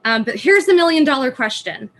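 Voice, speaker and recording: A woman's voice: high voice, lively intonation, fairly quick, fairly bright tone, slight background noise. Woman reading a prepared conference talk. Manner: storytelling with rhetorical questions. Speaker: student conference presenter. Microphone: video call microphone.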